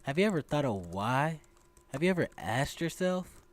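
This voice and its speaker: A man's voice: a little high, very animated, moderate pace. A man playing an earnest, lovestruck seventeen-year-old boy reading his own letters aloud in a radio drama, with a poetic manner. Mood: earnest, pleading.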